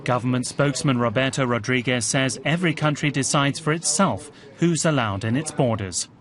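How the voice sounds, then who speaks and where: A man narrating a television news report in a measured, scripted voice, also voicing translated quotes. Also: medium pitch. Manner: measured, even reporting delivery.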